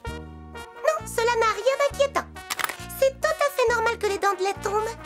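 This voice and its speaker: Squeaky little mouse dentist. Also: female voice, moderate pace. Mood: reassuring. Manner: reassuring, instructive, gently scolding. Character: tiny motherly mouse dentist, kindly and matter-of-fact. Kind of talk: children's cartoon dubbing. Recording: music behind the voice.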